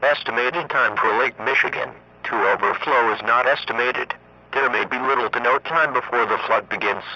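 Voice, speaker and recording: Robotic guy reading weather alerts, formal and monotone, really piercing voice, faint noise behind it. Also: medium pitch, normal speed. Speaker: emergency alert announcer. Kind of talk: scripted weather warning announcement. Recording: muffled lo-fi radio broadcast, faint noise.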